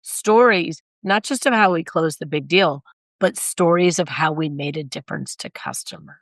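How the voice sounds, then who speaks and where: A hoarse woman with a low, raspy voice speaks briskly and with emphasis, acting out the voices she quotes. The sound is close and dry, with no room echo. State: hoarse, recovering from illness. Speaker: guest, sales consultant and author. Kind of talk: conversational interview.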